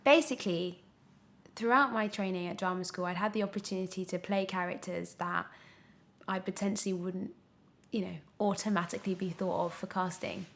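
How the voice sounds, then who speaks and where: A woman's voice: lowish voice, steady tone, fairly quick, creaky. Young British woman chatting as an interview guest. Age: 20s. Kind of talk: casual podcast interview chat.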